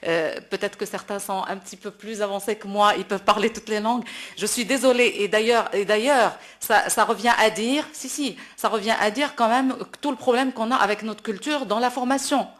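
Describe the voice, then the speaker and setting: A woman's voice: mid-range voice, fairly quick, a bit bright. Emphatic Moroccan woman speaking off the cuff. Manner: emphatic, repeats words while searching for phrasing. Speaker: classical opera singer speaking at a panel. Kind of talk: impromptu public speech at a debate.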